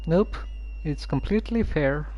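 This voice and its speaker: A streamer casually commenting on a story game with a hesitant, musing, deadpan way of talking. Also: mid-range voice, unhurried, muffled recording.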